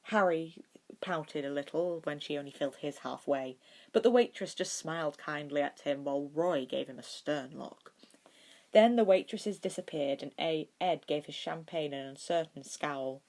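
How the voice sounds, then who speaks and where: A woman's voice: deep voice, moderate pace, a little mellow. A woman narrating fan fiction as a podfic reader.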